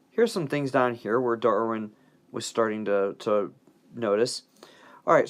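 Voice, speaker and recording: A man with a medium-pitched voice narrates a lesson for his students. He sounds informal and conversational, explaining things in a folksy way and dropping in playful asides as he goes. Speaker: biology teacher.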